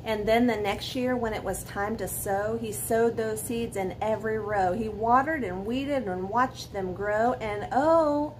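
A woman in her forties with a somewhat high voice reads a bedtime story to children. She stresses words dramatically and slips in asides and questions for her listeners. It is a home recording in a living room, with faint noise behind her. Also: a little slow, few pauses, rough recording. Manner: expressive, dramatic emphasis with questions to young listeners. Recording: clear background noise, room ambience.

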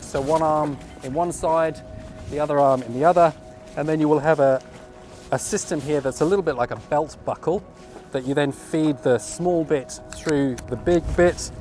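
A man in his thirties giving an informal, step-by-step instructional demonstration outdoors, explaining as he goes into a clip-on mic. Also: mid-range voice. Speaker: sailing instructor. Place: outdoors at a marina, on a yacht deck and dock. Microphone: clip-on lapel mic. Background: music.

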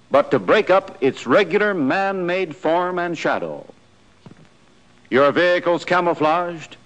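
An American man narrating a 1940s military training film in a scripted, instructive style, with clear imperative delivery. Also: fairly high pitch, fairly bright tone. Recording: noisy 1940s film soundtrack.

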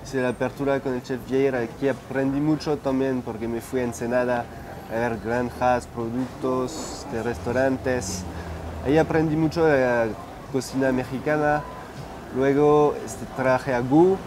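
A man's voice: medium pitch, flat delivery, moderate pace. Man in his twenties, French accent, hesitant interview answers, background noise. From France. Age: 20s. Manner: hesitant, many fillers and restarts. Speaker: chef. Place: restaurant dining room, indoors. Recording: noisy restaurant ambience.

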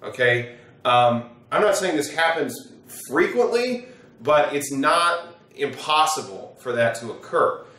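A man's voice: fairly high pitch, animated, takes it slowly, bright, forceful tone. American man, distant and echoey. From United States. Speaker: attorney, presenter. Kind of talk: informal explainer to camera. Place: small wood-panelled office, indoors. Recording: roomy, echoing sound from a distance.

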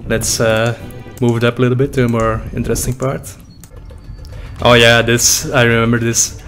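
A man's voice: mid-range voice, flat delivery, normal speed, a bit bright, faint music behind. Joking Flemish man reacting to old videos. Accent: Dutch-speaking Belgian. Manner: laughing, joking, loose and profane. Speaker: YouTuber. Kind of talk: reaction commentary. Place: small room indoors. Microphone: desk boom mic with headphones.

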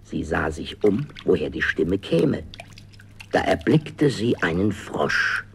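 Gravelly, husky male storyteller. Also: deep voice, sing-song, takes it slowly. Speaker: narrator. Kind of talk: dramatic fairy-tale narration.

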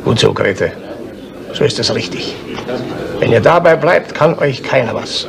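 An actor playing a blunt, conspiratorial villager in a 1970s radio crime drama, gravelly and insistent. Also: male voice, mid-range voice, expressive, measured pace, a crowd in the background. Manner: insistent, emphatic.

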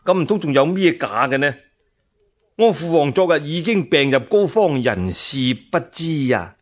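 A man's voice: a little high, sing-song, normal speed. A man voicing a guilty, blustering young emperor in a radio storytelling drama, haughty, defensive and evasive. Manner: evasive, curt denials.

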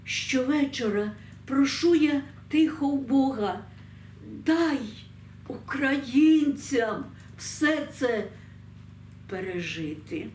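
A woman presenting and solemnly reciting poetry, with deliberate, expressive phrasing and pauses, recorded in an echoey room. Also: high-pitched. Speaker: program presenter and poetry reader. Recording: echoey room, distant mic, faint noise.

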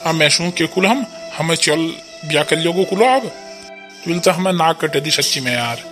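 A man's voice: on the high side, expressive, normal speed, music in the background, lightly acted. A man voicing a grumbling, worried village father with a Kumaoni accent in an amateur cartoon comedy. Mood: aggrieved.

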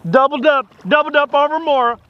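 A man chatting excitedly while fishing from a kayak at sea, caught on a hat-mounted action camera. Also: extremely high pitch, fairly quick. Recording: windy dull action-cam audio.

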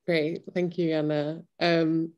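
A woman's voice: low voice, flat delivery, slow, a little mellow, muffled recording. A young woman with a Welsh accent, moderating an informal video-call discussion in a hesitant way. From Wales. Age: young adult. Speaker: curator and panel moderator. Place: room at home, video call.

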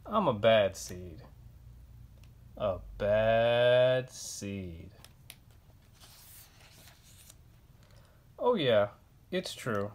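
A man voicing a self-confessed bad sunflower seed from a children's picture book, with dramatic, deliberate delivery. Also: fairly low pitch, big pitch swings, heavily acted. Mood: grumpy, boastful.

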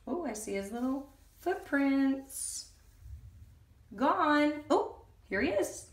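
A young woman reading a picture book aloud expressively, the way one does for small children. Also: sits high. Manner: expressive, slow and deliberate for children. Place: indoors in a room at home. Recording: echoey room sound.

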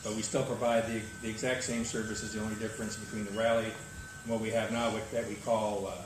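A man's voice: on the low side, level tone, fairly quick. An older man organizing cruising services, answering interview questions in an easygoing, matter-of-fact way on a tropical veranda. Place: open-air veranda, tropical. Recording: echoey, distant, noisy outdoor veranda.

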